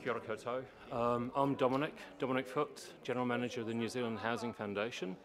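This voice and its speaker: A man with a low voice presenting a prepared submission at a public meeting, explaining and repeating points for emphasis. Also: flat delivery, quick pace, crowd noise. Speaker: housing organisation manager presenting to a council. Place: council meeting chamber. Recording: meeting room with faint background noise.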